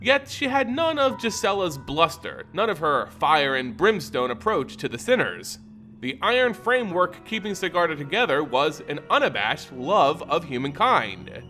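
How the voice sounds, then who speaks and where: Animated male fantasy story narrator. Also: fairly high pitch. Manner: theatrical, deliberate emphasis. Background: music.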